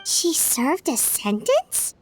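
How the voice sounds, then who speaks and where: A woman voicing a tiny, childlike fairy companion in an anime-style game, eager, naive and curious, with a squeaky voice. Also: huge pitch swings, slow, soft background music, full character voice, poor audio. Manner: refers to herself by name, eager, naive. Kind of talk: cartoonish video game acting.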